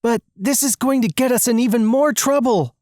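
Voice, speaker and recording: A narrator voicing an anxious young woman in an animated story, incredulous and full of questions. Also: mid-range voice, moderate pace, no echo, clean studio sound, pitched above the performer's own voice, close to an everyday voice. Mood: worried.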